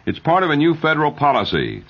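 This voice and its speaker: A man reading news and ads as a radio newscaster in a measured 1970s announcer style. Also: on the high side, huge pitch swings. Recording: dull, lo-fi 1970s radio broadcast recording.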